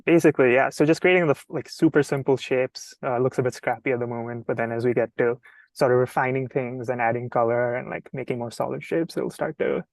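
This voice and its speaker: Relaxed young man, dry close sound. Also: mid-range voice, fast, creaky, no echo. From Sri Lanka. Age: young adult. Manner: relaxed, says "like" and "um" a lot. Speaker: guest graphic designer. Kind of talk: casual livestream chat. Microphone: video call.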